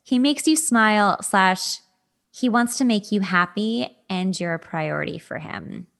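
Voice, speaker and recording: Woman, podcast host; casual conversational delivery with animated asides, mid-range female pitch. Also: a little slow, vocal fry. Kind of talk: casual chat.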